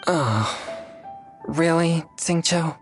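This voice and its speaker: A woman's voice: sits low, drawn-out words. A woman playing an earnest, reserved and polite teenage boy in a video game, serious and a little hesitant. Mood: reluctant, exasperated. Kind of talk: video game character dialogue. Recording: game music behind the voice.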